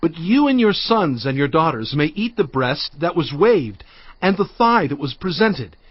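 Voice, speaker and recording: A man's voice: on the high side, very animated, normal speed, husky, no room sound, lo-fi sound. A man playing a stern, commanding prophet in a dramatised audio Bible, speaking in a solemn, emphatic, declamatory way. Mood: instructing. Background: background music.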